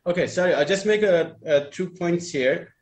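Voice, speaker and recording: A man's voice: high-pitched, moderate pace. A man who speaks English as a second language, chatting casually and giving advice in a rambling, emphatic way with repeated phrases. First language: not English. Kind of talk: casual group chat, giving dating advice.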